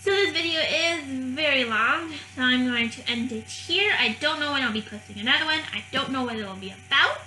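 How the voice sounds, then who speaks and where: Young woman vlogger, native French speaker, bright higher voice, rambling casual delivery. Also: lively intonation, a little fast, hardly any pauses, a little hiss or hum. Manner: rambling, with many fillers and restarts. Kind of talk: casual talking-head vlog. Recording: echoey room.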